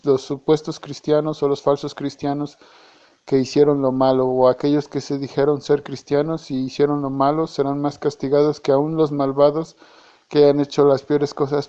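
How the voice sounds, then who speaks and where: Man, Mexican accent, hesitant, self-correcting, flat delivery, dull lo-fi voice message. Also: on the high side. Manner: hesitant, repeats and corrects himself. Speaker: church member. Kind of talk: casual voice message.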